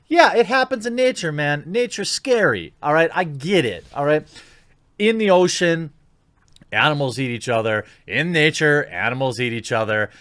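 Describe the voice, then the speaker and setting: A man's voice: fairly high pitch, pushed and bright, dry recording. A man giving opinionated video commentary in an indignant, informal, sarcastic manner. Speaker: YouTube commentator.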